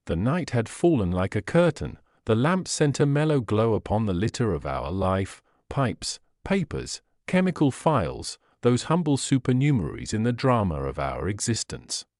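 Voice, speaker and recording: A man reads a Victorian detective tale in a low, expressive voice. His storytelling is formal and measured, with literary polish, and the sound is close and dry. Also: dry recording. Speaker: narrator. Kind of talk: scripted audiobook narration of a Victorian detective story.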